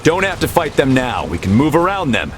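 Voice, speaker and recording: An actor playing a bold, decisive military captain in a game cutscene, with a brisk, confident, commanding delivery. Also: male voice, mid-range voice, wildly swooping pitch, faint music behind. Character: bold, decisive young male army captain rallying his men. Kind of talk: video game cutscene acting.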